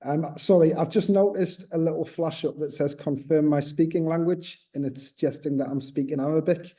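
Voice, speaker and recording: A man's voice: on the high side, steady tone, normal speed, dark tone. A man presenting on a video call webinar, explaining at length, with many fillers and restarts, and a slight accent of his own. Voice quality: says he has a slight accent. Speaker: textbook co-author.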